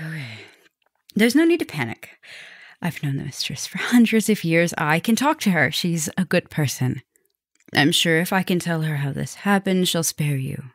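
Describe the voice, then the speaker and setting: A gentle, centuries-old female vampire professor, acted by a woman in an ASMR roleplay. Her voice sits a little low and is soft and intimate. She speaks tenderly and apologetically, with hesitant stammers, in a very dry recording with no room sound. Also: creaky, no room sound, full character voice. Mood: remorseful, soothing.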